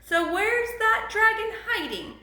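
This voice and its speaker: A woman's voice: very high-pitched, sing-song, a little slow, pushed and bright, reverberant, pitched above the performer's own voice, full character voice. A woman voicing a confident, blustery old grandfather in a storybook, declaiming emphatically and oblivious to everything. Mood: brisk, blustery. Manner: declaiming, emphatic, sure of himself. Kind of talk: storybook read-aloud.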